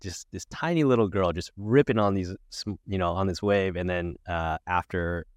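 A man's voice: low voice, normal speed, dry recording. A man hosting a podcast, talking in an easygoing way with many hesitations. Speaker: podcast host. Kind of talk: casual interview talk.

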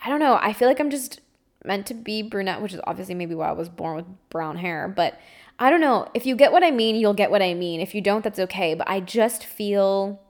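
A woman in her twenties as a podcast host, telling a candid, chatty storytime monologue full of fillers and small laughs. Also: mid-range voice. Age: 20s.

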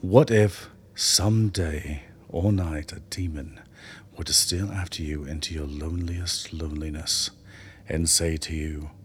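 A man playing a brooding doctor in a radio drama, reciting in a husky voice. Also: extremely low pitch, fairly even intonation, a little slow, full character voice. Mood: brooding, hushed. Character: brooding male doctor reciting aloud alone.

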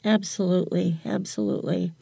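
An older woman talking reflectively as an interview guest, with halting, hesitant phrasing. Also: lowish voice, steady tone, vocal fry, dark tone, husky, no room sound. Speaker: podcast guest, writer.

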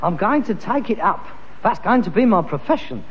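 High-voiced, pompous Cockney son. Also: male voice, sing-song, moderate pace. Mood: self-important. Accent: London Cockney. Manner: nagging, pompous. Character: exasperated, self-important working-class London son with aspirations. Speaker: sitcom actor. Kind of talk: vintage British sitcom acting. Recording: dull, noisy, reverberant archive sitcom recording.

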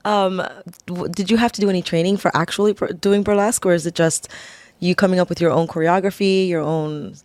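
A woman hosts a casual interview, her voice sitting a little low and recorded dry, with no room sound. She stays upbeat, laughs a lot, and fills the gaps with quick reactions. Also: steady tone, a little mellow, dry recording. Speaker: interview host.

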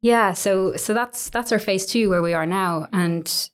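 An Irish woman in her thirties, a business owner chatting as an interview guest, relaxed and full of little fillers and restarts. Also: mid-range voice, measured pace, clear, resonant tone. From Ireland. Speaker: guest, co-owner of a coworking space. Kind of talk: relaxed conversational storytelling.

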